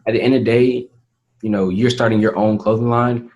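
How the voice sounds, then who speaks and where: An eighteen-year-old man, answering interview questions in an earnest, measured way with many fillers. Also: fairly low pitch, steady tone, darkish tone. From Atlanta, Georgia, USA. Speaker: interview guest, young entrepreneur. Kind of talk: casual interview talk.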